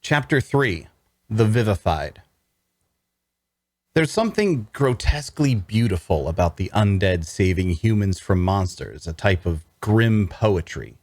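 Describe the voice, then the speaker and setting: A man's voice: lowish voice, animated, measured pace, a little mellow, dry recording. An actor playing a sardonic necromancer antihero, narrating his own quest conversationally with dry humor and rhetorical asides. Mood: dry, matter-of-fact. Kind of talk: first-person dramatic narration at a script table read.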